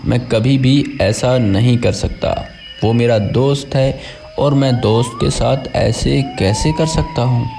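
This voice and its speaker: Male narrator as crocodile friend, storybook, earnest, conflicted, mellow timbre, animated intonation. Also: medium pitch, slow, vocal fry, soft background music, heavily acted. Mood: protesting. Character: loyal but torn male crocodile friend.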